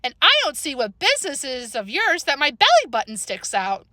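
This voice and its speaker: A woman puts on a young girl for a storybook, blunt and cheeky. Her voice sits high and moves about in lively swings, with bold, touchy emphasis. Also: fairly quick, dry recording, clean studio sound, exaggerated voice. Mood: indignant.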